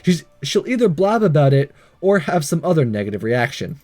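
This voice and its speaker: High-pitched, animated man reading game dialogue. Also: a little fast. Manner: playful, theatrical. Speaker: let's play host. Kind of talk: reading game dialogue aloud with joking asides. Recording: game music behind.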